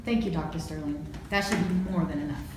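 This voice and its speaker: A woman's voice: sits low, a little fast, lightly acted. A woman acting a formal, probing prosecutor in an amateur courtroom drama. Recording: very echoey room, distant, faint noise.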